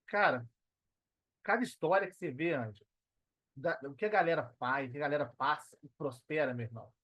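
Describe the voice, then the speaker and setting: A man's voice: on the high side, a little fast, dry recording, lo-fi sound. A Brazilian man chatting motivationally on a podcast, emphatic and slangy, repeating himself to press his point. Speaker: podcast participant. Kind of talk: casual motivational podcast chat.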